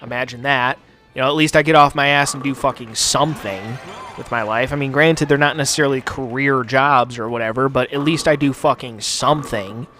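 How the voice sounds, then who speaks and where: A man's voice: mid-range voice, steady tone, fairly quick, sharp tone, faint music behind. A young man from the United States, hosting a gaming channel and rambling casually with plenty of swearing and filler words. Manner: profane, rambling, filler-heavy. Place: home basement studio.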